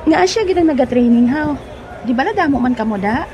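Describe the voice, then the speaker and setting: A chatty young woman who giggles often and frets over her boyfriend, played by a woman. Her voice is high. The recording carries a clear hiss throughout. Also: expressive, fairly quick, lo-fi sound, a crowd in the background. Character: cheerful young woman, lovesick girlfriend. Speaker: character in an audio drama. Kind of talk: amateur audio drama acting.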